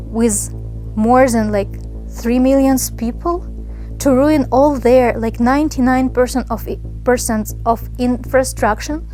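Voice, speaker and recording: Woman, impassioned, rhetorical questions, higher voice, faint music behind. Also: warm tone. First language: Ukrainian. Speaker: interviewee. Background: faint background music.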